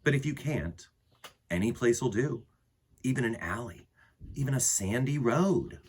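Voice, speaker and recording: A man with a husky voice reading a picture book aloud to children in an expressive way, with dramatic pauses and emphasis. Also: medium pitch. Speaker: children's storytime host and reader.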